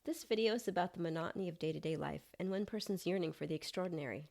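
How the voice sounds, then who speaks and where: A woman's voice: on the low side, rapid-fire, gravelly, a little mellow. A woman reading a scripted short story as narrator of her own podcast.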